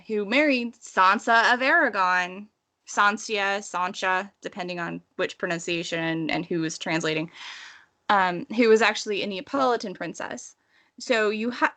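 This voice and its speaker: A woman's voice: mid-range voice, pushed and bright. A woman co-hosting a casual book discussion, explaining things enthusiastically and with tangents. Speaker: podcast co-host.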